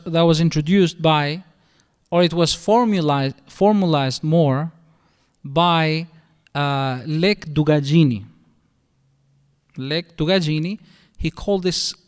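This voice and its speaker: A middle-aged imam with an Albanian accent teaches in an explanatory, conversational way. His voice sits a little high and sounds smooth and resonant. It carries a long reverb from the mosque hall. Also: takes it slowly, long pauses. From northern Albania. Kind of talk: religious lecture.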